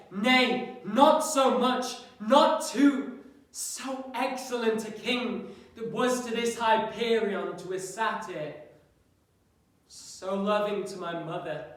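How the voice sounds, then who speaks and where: A very echoey room carries a man's performance of a grieving, bitter young prince in a Shakespearean soliloquy. The delivery is impassioned and declamatory, high and forceful, with deliberate pacing. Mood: bitter grief. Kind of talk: classical Shakespearean stage soliloquy. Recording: very echoey room, slightly distant mic.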